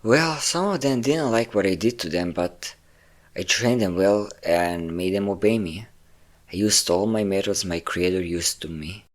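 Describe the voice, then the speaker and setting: A man's voice: sits low, a little slow. A man playing a possessive, obsessive vampire lover, vain and cruel, in an intimate, theatrical roleplay monologue with lingering words and sighs. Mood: smug, possessive.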